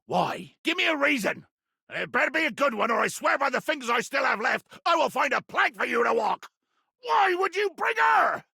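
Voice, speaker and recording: An actor playing a grandiose, menacing pirate captain in a video game, raspy and theatrical, haughty with archaic nautical insults. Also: male voice, very high voice, pushed and bright, no room sound, clean studio sound, heavily acted. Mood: furious, exasperated. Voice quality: gravelly, raspy. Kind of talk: video game character acting.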